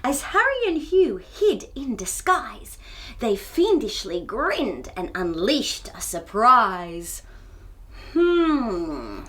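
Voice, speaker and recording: A woman hosting a children's storytime, reading a picture book aloud in an expressive, theatrical way and chatting to young viewers. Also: on the high side, very slow. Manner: expressive, theatrical, with gasps and exclamations.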